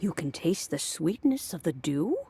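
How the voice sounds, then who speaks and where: A woman voicing a serene, kindly elderly lady in a video game, courteous and reflective, with a gently teasing side. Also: sits low, big pitch swings, moderate pace, slight background noise. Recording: music under the voice.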